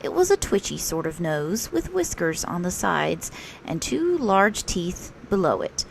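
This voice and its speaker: Lively woman reading a children's story, slightly gravelly. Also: on the low side, a little slow, a little hiss or hum, rough recording. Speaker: storytelling teacher reading aloud. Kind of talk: read-aloud of a children's picture book, with questions to listeners.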